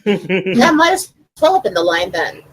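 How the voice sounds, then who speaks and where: A woman's voice: mid-range voice, sing-song, measured pace, slightly rough. A woman chatting casually in a group fan discussion. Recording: noisy background ambience.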